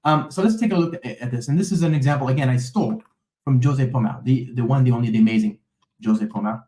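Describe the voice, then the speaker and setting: A man of ordinary pitch leads a live coding session, talking loosely as he types and stopping often to check in with his viewers. His voice rings a little in a reverberant room. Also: fast, dark tone. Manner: rambling, many fillers and "okay?", "right?" check-ins; talks to a live chat while typing code. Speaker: host of a live coding stream. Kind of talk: live coding walkthrough, casual and chatty.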